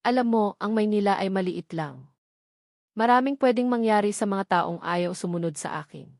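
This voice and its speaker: A woman's voice: mid-range voice, moderate pace, darkish tone, no room sound, lightly acted. A scheming male politician, voiced by a female narrator in a dramatic audio story. He is smug and makes veiled threats. The recording is dry. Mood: menacing.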